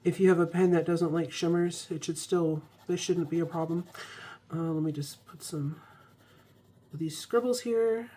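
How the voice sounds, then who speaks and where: A woman's voice: lowish voice, moderate pace, mellow. A young woman recovering from a cold, chatting casually with ums and restarts as she reviews an ink. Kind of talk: casual product review vlog.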